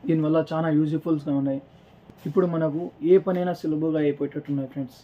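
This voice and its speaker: Higher-voiced man presenting, dull lo-fi recording. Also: fairly even intonation, racing. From South India. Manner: explanatory, repetitive, addresses viewers as friends. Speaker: presenter for a security systems shop. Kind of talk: informal product demonstration to camera. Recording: dull lo-fi sound with music behind.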